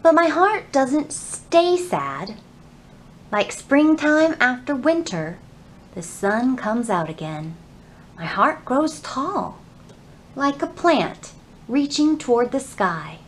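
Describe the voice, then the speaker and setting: A woman in her thirties presenting a children's storytime, with expressive, carefully phrased delivery meant for small children. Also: sits high, slow pace, roomy sound, slight background noise. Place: indoor library room.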